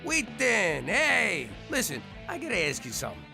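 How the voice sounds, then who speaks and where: A man's voice: high voice, wildly swooping pitch, normal speed, creaky, music in the background, exaggerated voice. A man voicing a hapless, guilt-ridden mob man in a cartoon, with a mumbly, self-pitying, wisecracking way of talking. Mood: glum. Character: hapless, guilt-ridden middle-aged mob man, kicked out by his wife. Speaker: main character. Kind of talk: animated sitcom voice acting.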